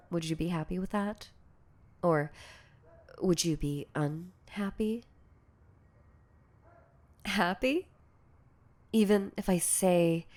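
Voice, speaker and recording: A woman playing a shy, insecure, lovestruck girlfriend in an intimate ASMR audio roleplay, speaking in a hesitant, stammering, soft-spoken way. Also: on the low side. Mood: shy, tentative, then flustered, amazed. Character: shy, insecure, lovestruck yandere girlfriend confessing obsessive devotion.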